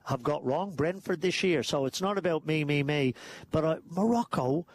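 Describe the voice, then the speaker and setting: Aussie bloke hosting sports radio, slightly higher voice, cheeky and opinionated. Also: male voice, lively intonation, normal speed. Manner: chatty, opinionated, teasing. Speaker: radio show host. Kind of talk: casual sports chat.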